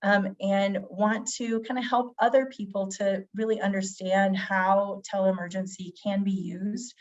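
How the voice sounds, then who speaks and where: A woman's voice: medium pitch, level tone, measured pace. Slightly scratchy woman presenting, muffled audio. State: getting over a cold. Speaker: physician presenter. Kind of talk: webinar presentation, semi-scripted. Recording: dull, band-limited webinar audio.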